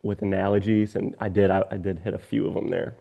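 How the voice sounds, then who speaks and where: A man chatting casually as he reviews his own work, halting and self-critical in manner. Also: fairly low pitch, little pitch movement, vocal fry, mellow, lo-fi sound. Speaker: radio presenter being coached. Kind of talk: casual conversation.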